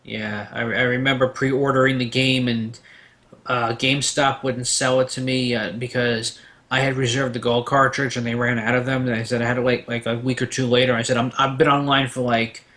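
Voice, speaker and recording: A man's voice: medium pitch, little pitch movement, moderate pace, sharp tone. Rambling, opinionated man talking games. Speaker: panelist. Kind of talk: casual gaming discussion.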